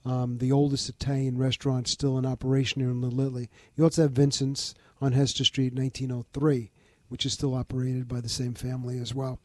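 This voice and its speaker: Older Bronx-raised man, steady interview guest, warm and close. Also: mid-range voice, no room sound. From the Bronx, New York. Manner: measured, informative, lists details. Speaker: museum founder, guest. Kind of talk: interview conversation.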